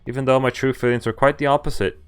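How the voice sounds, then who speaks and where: A man acts out a lovelorn young visual novel hero, wracked with regret and questioning himself earnestly. The voice is smooth and mid-pitched, over faint music. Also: fairly even intonation, quick pace, close to an everyday voice. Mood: remorseful. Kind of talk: dramatic read-aloud of visual novel narration.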